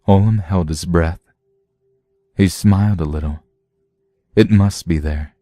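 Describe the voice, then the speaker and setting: A man narrating a scripted dramatic story in a measured, suspenseful manner. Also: deep voice, mellow, no room sound, clean studio sound.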